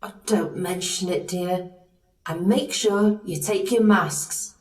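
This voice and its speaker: A woman's voice: fairly low pitch, slow, darkish tone, far from the mic. A woman playing a scatty, bossy but kindly fairy godmother in an audio pantomime, with exaggerated theatrical delivery. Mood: gracious.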